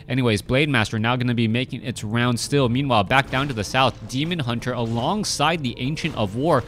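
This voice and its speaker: A man's voice: mid-range voice, a bit bright. A man in his thirties casting a strategy game match, talking casually with many fillers and restarts. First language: Cantonese. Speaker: game caster. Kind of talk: casual play-by-play commentary.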